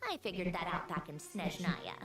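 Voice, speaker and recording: A woman's voice: on the low side, normal speed, lightly acted. An actress playing a reserved, independent young woman scientist in a video game, speaking precisely and dryly. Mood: cool, detached. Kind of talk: scripted video game voice acting. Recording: game audio with music, slightly roomy.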